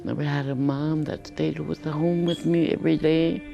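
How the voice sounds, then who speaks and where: An older woman from the United States speaks slowly and haltingly, her voice deep and gravelly with a dark, mellow tone. She pauses and restarts often, as if searching for words. Soft music plays faintly underneath. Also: little pitch movement, muffled recording. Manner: halting and hesitant. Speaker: interviewee. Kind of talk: interview testimony.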